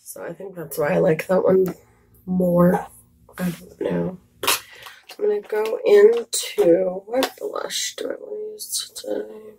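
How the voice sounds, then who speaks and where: A woman with a scratchy, slightly hoarse voice, chatting casually through a makeup tutorial with rambling asides and fillers. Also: medium pitch, little pitch movement, slow. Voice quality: scratchy, raspy voice. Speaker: makeup vlogger.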